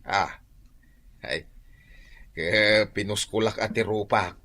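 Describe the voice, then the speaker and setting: A man's voice: fairly low pitch, slow, sharp tone, heavily acted. An actor with an Ilocano accent playing a teasing, middle-aged male confidant in a radio drama. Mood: wry. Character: older male confidant, slow, teasing, wry.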